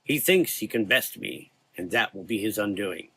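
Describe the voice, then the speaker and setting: A man playing a frail, weary old monster hunter in a gothic audio drama, solemn, restrained and quietly menacing. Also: fairly low pitch, expressive, moderate pace, breathy edge, exaggerated voice, low-quality recording. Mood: grim.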